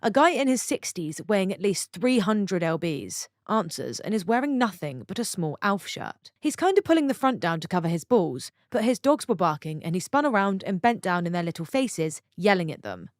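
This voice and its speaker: A woman reading online stories aloud for her channel, stopping for chatty, expressive asides to her viewers. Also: mid-range voice, normal speed, hardly any pauses, no room sound, clean studio sound. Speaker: story channel narrator.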